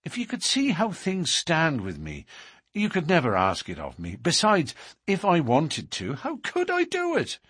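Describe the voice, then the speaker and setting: A man's voice: a little high, wildly swooping pitch, a little slow, a bit bright, slightly rough, no room sound, studio quality, pitched above the performer's own voice, heavily acted. A man voicing a secretive, passionate young lover with a lilt, speaking earnestly and coaxingly in an audiobook. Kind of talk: audiobook character reading.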